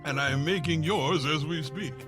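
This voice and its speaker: A man's voice: a little high, moderate pace, music in the background, close to an everyday voice. A man acting a smug, affectionate husband in a 1940s-style radio commercial, with a hammy manner. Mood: smug, cheerful.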